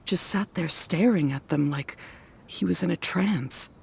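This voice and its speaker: A woman playing a worried, weary wife and mother in a video game, explaining earnestly and in a measured way. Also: lowish voice, creaky, darkish tone, husky, full character voice. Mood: distressed, exasperated.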